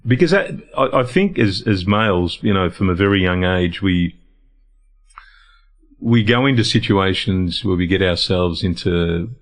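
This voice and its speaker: A man's voice: low voice, expressive, unhurried. A middle-aged man, a guest in a casual interview, speaking reflectively and often restarting or repeating words as he gathers his thoughts. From Lebanon, raised in Australia. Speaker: guest, founder of a men's health organisation.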